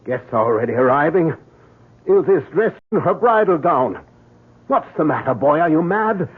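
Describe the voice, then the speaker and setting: An actor playing an older, kindly doctor in an old radio drama, avuncular and reassuring, with small hesitations. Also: male voice, a little high, lively intonation, moderate pace, breathy edge. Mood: alarmed. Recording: narrow noisy old radio broadcast.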